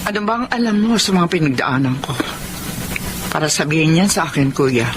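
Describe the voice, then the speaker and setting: A woman's voice: low-pitched, lively intonation, brisk. An actress playing a proud, brusque middle-aged mother in a radio drama, emphatic and bossy. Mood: bitter. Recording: noisy ambience behind the voice.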